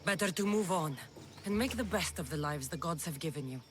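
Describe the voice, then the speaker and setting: A woman's voice: low voice, animated, a little fast, noisy background. A woman playing a tough, confident mercenary of ancient Greece in a video game cutscene, speaking bluntly. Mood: calm, persuading.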